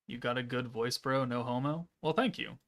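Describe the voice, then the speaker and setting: A man in his thirties streaming a game from home, chatting casually and reading chat aloud in a monotone, deadpan way. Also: medium pitch, moderate pace. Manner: monotone, laid-back, deadpan. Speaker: gaming streamer.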